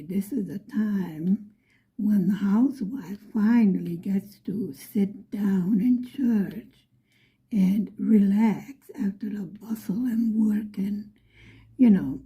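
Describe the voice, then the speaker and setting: A middle-aged woman from Buffalo, New York, telling a story in a soft, often whispery voice. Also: medium pitch, takes it slowly. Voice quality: frequently whispery, breathy delivery. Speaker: vlogger.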